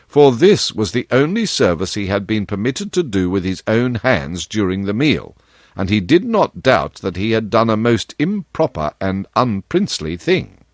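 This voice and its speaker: Expressive, swooping male storybook narrator. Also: medium pitch, no echo, clean studio sound. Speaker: audiobook narrator.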